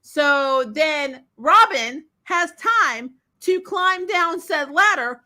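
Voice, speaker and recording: A woman co-hosting a casual comic book chat, telling things in an animated, sarcastic way with mild swearing. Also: high voice, extremely slow. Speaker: co-host of a comic book discussion stream. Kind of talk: casual fan chat, reading and answering viewer comments.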